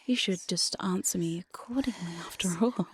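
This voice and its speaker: Woman playing a breathy whispering demon mistress. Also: lowish voice. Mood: coaxing, commanding. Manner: slow, coaxing, mock-sweet and commanding. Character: teasing, domineering demon mistress of a dungeon jail. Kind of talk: whispered ASMR roleplay. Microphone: close binaural studio microphone.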